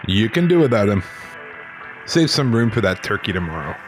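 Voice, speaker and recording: A man's voice: fairly low pitch, sing-song, moderate pace, over music, low-quality recording. A man playing a weary, good-natured New York police officer in an audio drama. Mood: teasing.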